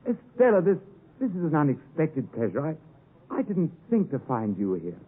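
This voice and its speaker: A man playing an earnest, polite young man aspiring to be a gentleman in 1950s radio drama style, on a tinny recording. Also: a little high, huge pitch swings, moderate pace, breathy edge, full character voice. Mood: earnest, tender. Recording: tinny 1950s radio broadcast, faint music.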